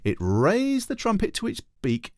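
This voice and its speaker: A man, a teacher, reading a children's storybook aloud in an animated narrating style. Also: sits high, a little slow, no echo.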